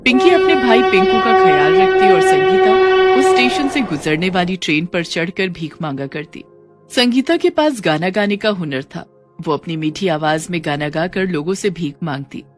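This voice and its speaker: A woman's voice: fairly low pitch, expressive, normal speed, over music. A woman narrator reading a scripted, dramatic moral story.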